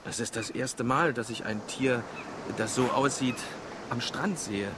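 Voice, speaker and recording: A man's voice: medium pitch, unhurried, natural voice. An actor playing a dry, grumbling but good-hearted middle-aged boat skipper and father in a radio drama. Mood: puzzled. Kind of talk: naturalistic radio drama acting. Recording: loud background noise, outdoor ambience.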